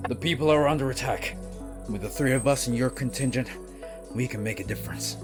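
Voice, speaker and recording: A man voicing a proud, gruff, battle-worn ninja master in a fantasy audiobook, with terse delivery. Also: medium pitch, fairly quick, over music, pitched above the performer's own voice, natural voice. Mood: urgent. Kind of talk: fantasy audiobook character acting.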